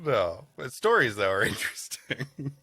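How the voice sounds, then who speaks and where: A man's voice: medium pitch, big pitch swings, a little slow, bright, forceful tone, slightly rough, no echo, clean studio sound. A man co-hosting a comedy podcast, improvising wry quips and asides in casual banter. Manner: quipping, wry.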